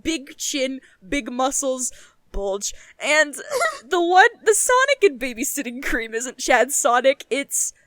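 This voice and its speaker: A young woman co-hosting a podcast, chatting casually in an animated, emphatic way and swearing freely. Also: high voice, slow pace, no room sound, studio quality. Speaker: podcast co-host. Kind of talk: casual chat.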